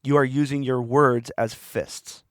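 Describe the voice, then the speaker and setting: A man's voice: mid-range voice, steady tone, measured pace, dry recording, studio quality. A man hosting a podcast, talking alone in a rambling, earnest way while he is losing his voice. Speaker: podcast host. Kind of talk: reflective solo monologue.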